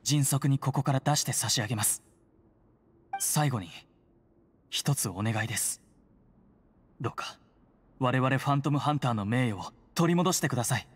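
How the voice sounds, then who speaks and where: An actor playing a polite, earnest prison guard who secretly helps heroes, speaking formally and solemnly in a game. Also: male voice, medium pitch, normal speed, pauses often, breathy edge, lightly acted. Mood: grave, urgent. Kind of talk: Japanese game voice acting. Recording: long reverberant hall.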